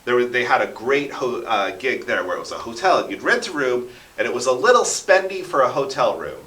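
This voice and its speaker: A man's voice: mid-range voice, very animated, brisk, fairly bright tone. An American man telling stories conversationally as an interview guest, with dramatic flourishes. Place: echoey radio studio. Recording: roomy echo, distant from microphone.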